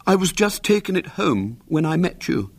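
A man's voice: fairly high pitch, sing-song, normal speed, husky, voice pushed up, heavily acted. A man voicing a scheming schoolboy in a light, offhand voice, raised above his own. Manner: casual, offhand.

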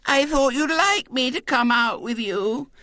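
A man's voice: very high-pitched, expressive, unhurried, bright, forceful tone, no room sound, studio quality, voice pushed up, full character voice. A man voicing a self-important six-year-old girl who is insistent and plaintive, in a storybook reading. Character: bossy, triumphant six-year-old girl, persistent and wheedling.

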